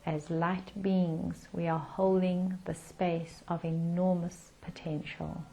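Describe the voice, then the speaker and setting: A woman leading a guided meditation in a calm, soothing manner with gentle pauses. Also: lowish voice, vocal fry, low-quality recording.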